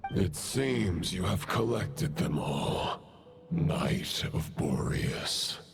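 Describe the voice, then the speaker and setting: An actor playing a solemn, honor-bound undead knight in a video game, speaking in a gravelly, formal, archaic manner. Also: male voice, sits low, lively intonation, very slow, background noise, heavily acted.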